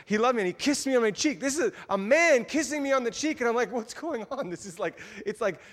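A man preaching a sermon, telling personal stories in a lively way and acting out what people said. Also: quick pace. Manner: animated, acts out quotes with big pitch jumps. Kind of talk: storytelling sermon. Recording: long hall reverb.

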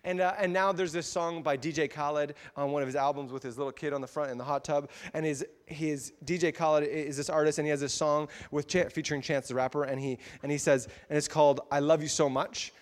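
Male preacher, fairly high voice, animated storytelling, echoing church hall. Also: fast, hardly any pauses. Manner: animated, acts out quotes with big pitch jumps. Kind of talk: storytelling sermon. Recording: long hall reverb.

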